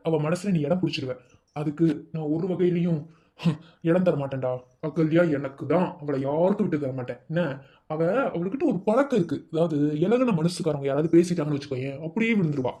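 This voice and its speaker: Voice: mid-range voice, dark tone, natural voice. A performer voicing a jealous, vengeful male college bully who keeps barking questions to browbeat a rival in a comedy sketch. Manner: bossy, drilling questions with "enna?". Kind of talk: comic sketch acting.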